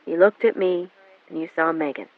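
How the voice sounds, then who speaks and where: A woman's voice: low-pitched, steady tone, normal speed, dry recording. Woman doing the daughter, cold and pushy, thin phone-ish sound. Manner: quiet then insistent, demanding. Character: adopted young adult daughter, cold and accusing. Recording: narrow phone-like band, dry.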